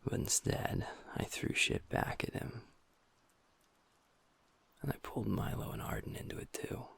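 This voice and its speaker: Man playing a quiet, gravelly werewolf boyfriend. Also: lowish voice, huge pitch swings, a little slow, whispered, heavily acted. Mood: subdued. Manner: soft, hushed, intimate talk to a partner. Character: gentle young male werewolf boyfriend, pack beta, guilt-ridden. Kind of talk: audio roleplay.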